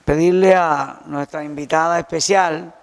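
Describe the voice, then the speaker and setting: A man's voice: a little high, fairly even intonation, a little slow, creaky. A man moderating a conference and formally introducing a guest speaker. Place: conference hall.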